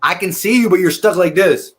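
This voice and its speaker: A man's voice: sits high, a little fast, breathy edge. Laid-back man hosting a live podcast. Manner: relaxed, laid-back, talks to the chat. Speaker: podcast host reading chat. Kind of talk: casual live-stream chat.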